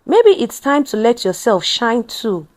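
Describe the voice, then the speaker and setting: A woman's voice: fairly high pitch, big pitch swings, measured pace, a little mellow. A woman voicing an earnest, thoughtful young husband and father in a storybook-style narration. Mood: encouraging.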